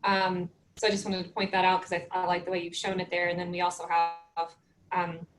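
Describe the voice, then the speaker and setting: A woman commenting in a virtual planning meeting on a video call, measured and thinking aloud with fillers. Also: on the low side, completely flat delivery, a little hiss or hum, poor audio. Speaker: community participant. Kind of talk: meeting discussion.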